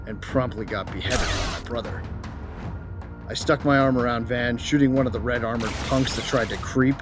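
A man's voice: medium pitch, natural voice. A man playing a cocky, battle-loving soldier who narrates a space battle in colloquial, irreverent first person. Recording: music behind the voice.

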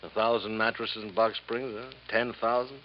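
Actor as low-voiced, chummy old wheeler-dealer. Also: male voice, steady tone, normal speed, natural voice. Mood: slick, confiding. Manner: chummy, wheedling salesman patter full of tag questions. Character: older, folksy, wheeling-dealing male mattress factory owner. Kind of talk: 1950s radio drama acting. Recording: muffled, noisy 1950s radio recording.

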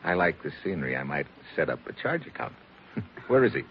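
An actor playing a dry, tough, unflappable American special agent in a 1940s radio drama. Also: male voice, rumbling low, big pitch swings, a little fast. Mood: wry. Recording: muffled, noisy old 1949 radio recording.

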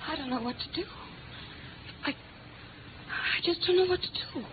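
An actress playing a scared young nightclub singer in a 1950s radio drama, halting and anxious, repeating herself, on a scratchy old recording. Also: female voice, sits high, lo-fi sound. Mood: anxious, defensive. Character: frightened young female nightclub singer, panicky and pleading.

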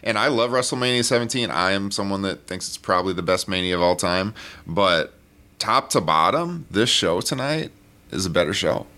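A man hosting a podcast from a hotel room, hoarse, upbeat and quick to laugh as he runs the show. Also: deep voice, animated, bright, forceful tone. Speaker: podcast host. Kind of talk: casual podcast chat.

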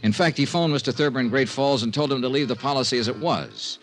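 An American actor playing a blunt, polite freelance insurance investigator in 1950s radio drama, asking direct, measured questions. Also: male voice, medium pitch, lively intonation, close to an everyday voice. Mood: probing, firm. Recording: 1950s radio recording with music.

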